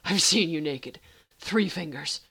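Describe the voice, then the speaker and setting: An impatient, flustered man from an audiobook romance, acted by a woman narrator. He is louder and more strained, blunt and urgent, slipping between a whine and a growl. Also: deep voice, a little slow, breathy edge, full character voice. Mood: urgent, pleading. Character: impatient, flustered, gruff man, pleading with his lover.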